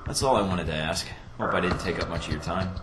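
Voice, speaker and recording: A man's voice: deep voice, fast, heavy background noise, natural voice. A man playing an easygoing, inquisitive agent recruit in a video game, speaking casually with a wry edge. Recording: roomy echo, loud game music behind.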